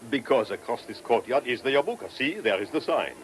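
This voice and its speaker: An actor playing a genial, talkative Viennese police commissioner with an Austrian German accent and formal foreign phrasing, in a radio play. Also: male voice, mid-range voice, lively intonation, fairly quick, lightly acted. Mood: courteous, probing. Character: genial, courteous Viennese police commissioner who loves food. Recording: noisy old radio drama recording.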